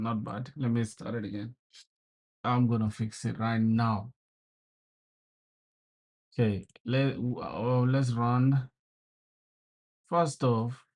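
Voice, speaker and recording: A man's voice: mid-range voice, a little mellow. A young man from South Sudan livestreaming his coding, chatting casually with viewers, swearing and venting frustration, calling everyone bro. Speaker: programming livestreamer. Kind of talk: casual live coding stream.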